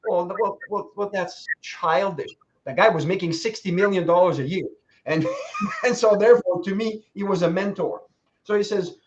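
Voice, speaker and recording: A man in his sixties tells motivational stories in a high, bright register. He laughs between lines and acts out the voices of the people he quotes. The sound is dull and lo-fi. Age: 60s. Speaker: guest speaker. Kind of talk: motivational storytelling.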